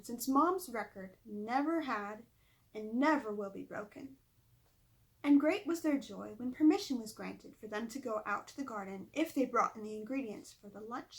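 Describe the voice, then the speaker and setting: A woman's voice: a little high, poor audio. A woman reading a children's story aloud expressively, with chatty asides to her listeners. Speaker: author reading own book aloud. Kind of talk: storybook read-aloud narration.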